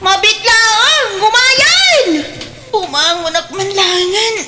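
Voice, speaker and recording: A woman's voice: very high voice, lively intonation, slow, very noisy, exaggerated voice. A woman playing a sassy, lazy, thieving housemaid in an Ilocano radio drama, with a whiny, exaggerated delivery and a Filipino accent. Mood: sassy, indignant. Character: brash, lazy, thieving young housemaid who talks back. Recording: long hall reverb.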